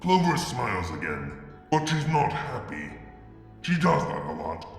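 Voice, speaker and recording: A man reading a fanfic aloud in a soft, halting first-person narration. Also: medium pitch, wildly swooping pitch, vocal fry, reverberant, in a large hall, soft background music. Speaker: fanfic reader. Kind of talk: dramatic story reading.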